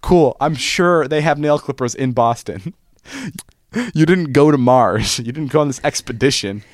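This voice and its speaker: A man's voice: mid-range voice, moderate pace, no room sound, clean studio sound. A young man co-hosting a casual podcast, telling stories with a joking manner and frequent restarts. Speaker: podcast co-host.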